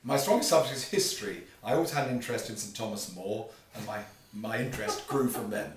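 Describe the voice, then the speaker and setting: Fifties guy, talks fast, plain answers, far off w echo. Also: lowish voice. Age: 50s. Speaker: quiz contestant. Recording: echoey room, mic far off.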